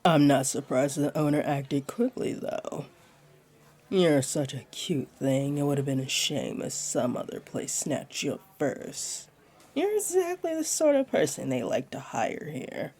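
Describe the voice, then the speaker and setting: Husky, slow-talking flirty jaguar woman, acted by a woman. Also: very animated, darkish tone, heavily acted. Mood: teasing, reassuring. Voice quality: deep, breathy, husky voice. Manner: slow, teasing, playful, soothing. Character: flirty, teasing jaguar beastling woman, laid-back tavern regular. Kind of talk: roleplay audio acting, addressed to one listener.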